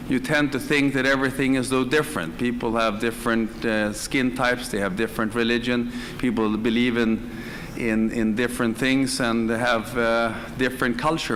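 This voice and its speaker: A man from northern Sweden with a Swedish accent, telling his story on a conference panel in a hesitant, pausing way. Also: mid-range voice, fairly bright tone, in a large hall. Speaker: conference panelist, direct selling executive. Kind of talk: unscripted personal storytelling on a panel. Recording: live conference room, clear background noise.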